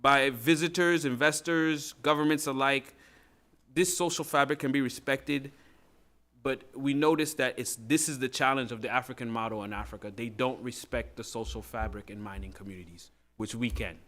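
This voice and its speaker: A man giving prepared expert testimony at a hearing, often halting and searching for his words. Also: medium pitch, steady tone, fairly bright tone. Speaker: expert witness at a legislative hearing. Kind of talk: prepared testimony read aloud.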